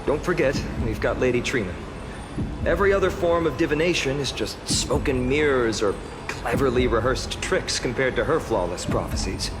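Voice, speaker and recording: An actor playing a friendly, teasing young warrior man in an anime-style video game, wistful and skeptical, in a conversational, expressive way. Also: medium pitch, normal speed, background noise, close to an everyday voice. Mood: confident.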